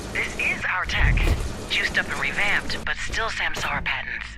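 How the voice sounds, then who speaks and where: A sardonic tech specialist from a video game played by a man, with a fairly high male voice. He is analytical and wry as he briefs a partner, with loud music playing underneath. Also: animated, normal speed, close to an everyday voice. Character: sardonic, analytical tech-expert ally guiding a warrior. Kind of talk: video game cutscene dialogue.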